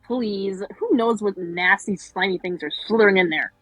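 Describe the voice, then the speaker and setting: A woman's voice: medium pitch, normal speed, no echo, faint music behind, lightly acted, rough recording. A woman voicing a sarcastic, easily annoyed young girl with a dry, scornful manner in an amateur cartoon read.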